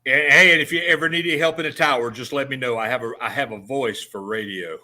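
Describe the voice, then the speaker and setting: Bright-voiced radio host. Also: male voice, mid-range voice. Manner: joking, folksy, halting restarts. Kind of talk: casual on-air interview.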